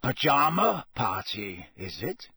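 A man voicing a gruff, suspicious old veteran wizard hunter with a growling, slow, needling delivery in an audiobook. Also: mid-range voice, sing-song, pushed and bright, no room sound, studio quality, full character voice.

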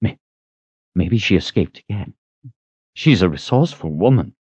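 A man's voice: sits low, lively intonation, normal speed, a little mellow, breathy edge, no room sound. A man voicing a young swordsman who speaks in a tense, pressing way. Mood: urgent. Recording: dull lo-fi audio.

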